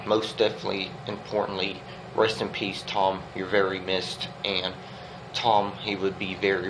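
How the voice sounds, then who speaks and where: A man with a low, stuffy, congested voice from a winter cold gives an earnest, rambling album review. His delivery barely rises or falls and keeps circling back to the same points. A steady noise hum sits under the recording. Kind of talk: casual music review vlog.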